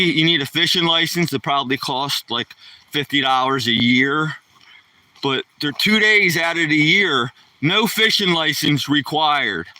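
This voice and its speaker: A man's voice: fairly high pitch, pushed and bright, dry recording, far from the mic, low-quality recording. A man in his sixties chatting casually on a live stream while walking his dog outdoors, rambling in a folksy, slangy way into a handheld phone. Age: 60s. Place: outdoors on a neighborhood walk.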